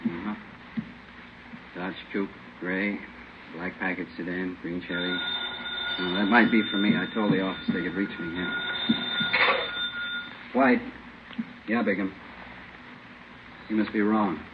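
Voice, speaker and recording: An actor playing a steady, authoritative police captain with a terse delivery in an old radio drama. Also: male voice, deep voice, a little slow, pauses often. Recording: muffled, noisy old radio broadcast.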